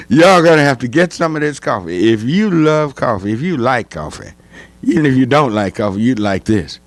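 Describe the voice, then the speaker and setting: Older guy hosting call-in radio, folksy, kinda low voice, studio mic. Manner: folksy, enthusiastic, drawling. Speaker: radio talk show host. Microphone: studio mic on stand, headphones.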